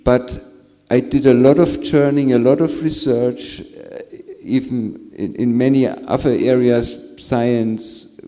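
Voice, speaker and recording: A man sharing a spiritual experience on a panel, speaking slowly and searching for words with soft laughs. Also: mid-range voice, steady tone. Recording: reverberant hall.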